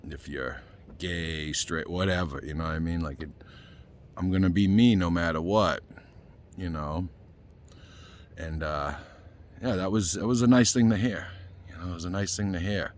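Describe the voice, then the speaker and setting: Deep-voiced Boston man vlogging casually. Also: slight background noise. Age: 50s. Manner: rambling, frequent "you know" fillers. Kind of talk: casual talking-head vlog.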